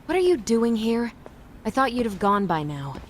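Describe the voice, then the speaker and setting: Frosty, expressive female schoolteacher. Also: fairly high pitch, moderate pace, slight background noise. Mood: suspicious, cold. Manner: curt, suspicious. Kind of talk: video game cutscene acting, English dub.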